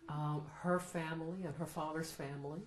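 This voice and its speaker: A middle-aged American woman, a law professor, answering interview questions in a thoughtful, measured way. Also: sits low, steady tone. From United States. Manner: thoughtful, measured, laughs often. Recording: roomy echo, faint noise.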